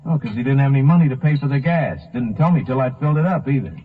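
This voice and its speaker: Casting: male actor as plain-spoken American filling-station man, husky, mid-pitched, explaining himself, on muffled old-time radio. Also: lively intonation, fast talker, natural voice. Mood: defensive, thoughtful. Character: plain-spoken, earnest gas station man under suspicion. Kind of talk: 1950s radio drama acting. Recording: muffled narrow-band 1950s radio recording.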